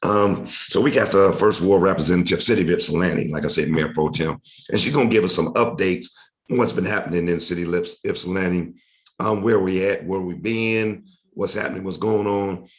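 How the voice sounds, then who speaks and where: A man hosting a casual local talk show, his voice a little raspy and hoarse from hay fever. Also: low-pitched, brisk. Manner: loose, conversational, informal phrasing. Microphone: studio mic.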